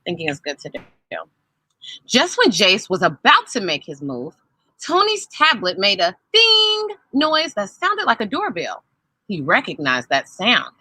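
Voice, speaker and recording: Female storyteller for kids' picture books, very bright and forceful, lively melodic delivery, pauses to question young listeners. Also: medium pitch, slightly rough, no room sound. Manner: animated, asks the children questions as she reads. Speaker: storyteller reading a children's book aloud to listening children. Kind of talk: expressive read-aloud with conversational asides to children.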